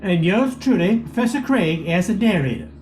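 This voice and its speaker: A man's voice: sits high, sing-song, moderate pace, a little mellow, soft background music. A man giving scripted narration as the narrator of a radio play. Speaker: professor and narrator.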